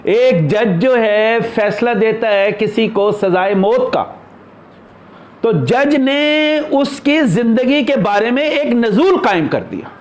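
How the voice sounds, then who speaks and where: A man's voice: very high-pitched, expressive, slow. A man giving an unscripted spiritual discourse as a teacher, explaining in short, broken phrases.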